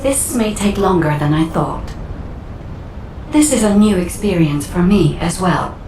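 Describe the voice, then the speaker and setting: A woman's voice: animated, unhurried, darkish tone. An actress playing a composed, formal female assistant in a video game, explaining things to a soldier. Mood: thoughtful. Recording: echoey, distant, faint rumbling noise.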